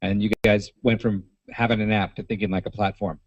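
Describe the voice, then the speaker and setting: A man's voice: on the low side, level tone, a little fast, no room sound. A man hosting a conference, informally moderating questions from the audience. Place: conference hall. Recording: muffled event recording, some crowd.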